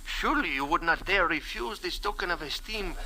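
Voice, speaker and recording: A man's voice: high voice, expressive, fairly quick, bright, forceful tone, heavily acted, poor audio. A man playing a servile, superstitious little Egyptian messenger in an old-time radio drama, speaking in ornate, deferential phrases. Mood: humble, courteous. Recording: vintage radio drama recording.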